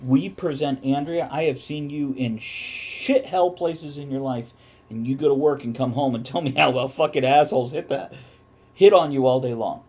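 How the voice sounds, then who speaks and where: A man's voice: mid-range voice, normal speed, darkish tone, slight background noise. A man hosting a casual chat show, talking bluntly and swearing freely as he banters. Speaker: host of a talk show.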